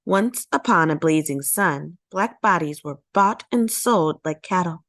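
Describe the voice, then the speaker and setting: Woman storyteller, slightly low pitch, highly expressive, emphatic, theatrical read-aloud, dry recording. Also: no room sound. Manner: emphatic, drawn-out key words, theatrical. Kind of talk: dramatic scripted storybook narration.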